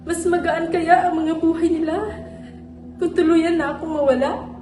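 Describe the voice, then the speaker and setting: A young woman acting an exhausted, despairing student, speaking haltingly and repeating her words. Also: extremely high pitch, steady tone. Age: young adult. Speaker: student actor. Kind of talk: stage monologue. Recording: echoey room, distant mic, music.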